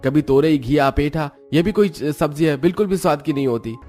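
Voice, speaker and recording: Poor working dad for a cartoon, homely, expressive, slightly high male voice man acting. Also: fairly quick, faint music behind, close to an everyday voice. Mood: grumbling. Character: poor, affectionate labourer father and elder brother. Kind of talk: Hindi cartoon story acting.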